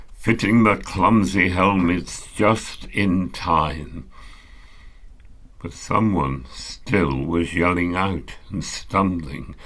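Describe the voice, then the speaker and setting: A man giving a grave, dramatic poetry recital with deliberate phrasing and long pauses. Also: low-pitched, pushed and bright. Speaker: poetry reader.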